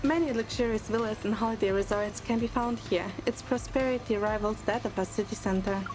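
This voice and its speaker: Woman as high-voiced young hunter recruit. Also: brisk, over music, natural voice, poor audio. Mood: composed. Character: curious, earnest young female hunter recruit. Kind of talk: first-person game story narration.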